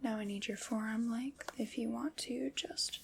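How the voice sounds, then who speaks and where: A woman's voice: fairly high pitch, gravelly, noisy background. A woman playing a whispering armor fitter. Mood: gentle, attentive. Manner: soft whispering, slow and attentive. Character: calm polite woman fitting armor, measuring. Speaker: ASMR roleplay creator. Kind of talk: ASMR roleplay whispering.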